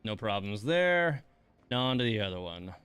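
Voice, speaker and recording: A man with a lowish voice talks through live gameplay as a streamer. His delivery is casual and conversational, with mildly exasperated asides muttered half to himself. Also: big pitch swings, moderate pace, fairly bright tone, smooth, soft background music. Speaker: game streamer.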